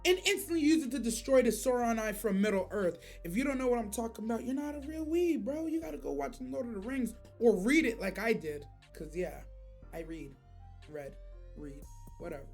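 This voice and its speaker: Animated high-voiced woman reacting. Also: a little fast, soft background music. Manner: animated, slangy, swearing. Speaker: host of a reaction show. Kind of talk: casual reaction commentary.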